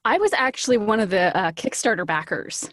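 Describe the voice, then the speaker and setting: A woman chatting casually as an interview guest, animated and gushing, slipping into little acted-out asides. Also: medium pitch, fairly quick. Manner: animated, gushing, acts out her own quoted lines.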